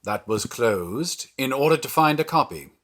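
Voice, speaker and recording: An actor playing a diplomatic, even-tempered male producer in an audio drama. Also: medium pitch, normal speed, close to an everyday voice. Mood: calm.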